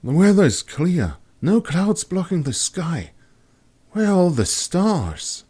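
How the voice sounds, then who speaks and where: A man's voice: sits high, big pitch swings, slow pace, warm tone, breathy edge, pitched above the performer's own voice, exaggerated voice. A man voicing a curious, outspoken young woman in a fantasy audiobook, exclaiming and asking questions. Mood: puzzled.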